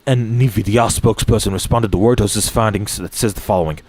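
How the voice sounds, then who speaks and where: A man streaming as he reads news articles aloud, with frequent restarts, throat clearing and dry offhand remarks. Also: on the low side, a little fast, darkish tone. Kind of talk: reading articles aloud with asides.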